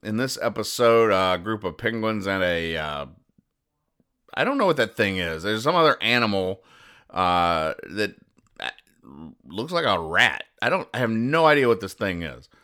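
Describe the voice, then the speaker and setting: Deadpan male podcast host, fairly low pitch, crisp delivery, explains things very literally. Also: moderate pace. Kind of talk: reading and explaining comic strips.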